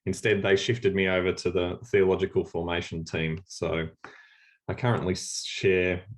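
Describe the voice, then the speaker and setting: A deep-voiced Australian man talks over a video call, easygoing and meandering, full of ums and false starts. He sounds a touch drowsy, as if it is very early in the morning. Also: normal speed. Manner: relaxed, rambling with many fillers and restarts. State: a little sleepy, early morning. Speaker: church leader and speaker. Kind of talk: informal talk on a video call.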